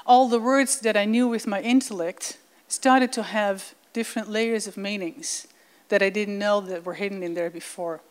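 A woman's voice: medium pitch, fairly even intonation, moderate pace. Woman presenting with enthusiasm. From Netherlands. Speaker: guest presenter. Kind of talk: slide presentation to a congregation.

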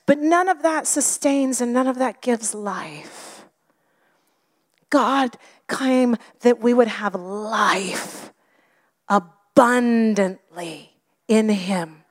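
High-voiced woman preaching, hushed to emphatic. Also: drawn-out words. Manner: expressive, often drops to a whisper. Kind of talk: sermon.

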